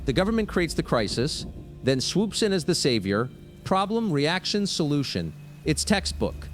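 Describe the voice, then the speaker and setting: A man's voice: a little high, very animated, moderate pace, faint music behind. A man playing a suspicious, insistent conspiracy theorist calling a radio show. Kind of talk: radio call-in drama acting.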